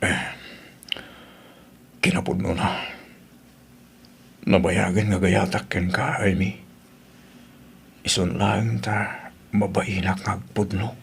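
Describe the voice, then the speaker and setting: A man's voice: low voice, steady tone, takes it slowly, gravelly, pauses often, full character voice. A man playing a humble, bashful father in an audio drama, speaking hesitantly with a Filipino accent. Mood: bashful confession. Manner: shy, hesitant. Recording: faint background noise.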